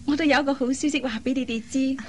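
Radio drama actress: caring young elder sister, high-pitched, earnest. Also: female voice, steady tone, quick pace, darkish tone, a little hiss or hum, lightly acted. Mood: happy. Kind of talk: Cantonese radio drama acting.

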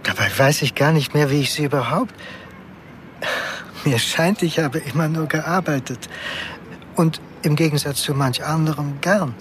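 An actor playing a weary, self-deprecating office worker in his fifties, speaking with resigned pauses in a radio drama. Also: on the high side, slight background noise, natural voice. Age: 50s. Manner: deliberate, pausing, resigned.

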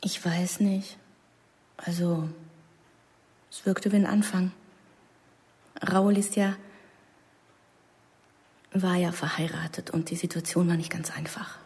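An actress playing a tender, hesitant woman baring her feelings in a radio drama, soft-spoken, breathy and halting. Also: fairly low pitch, flat delivery, full character voice. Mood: subdued, hesitant. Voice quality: breathy, hushed. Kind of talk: naturalistic radio drama dialogue.

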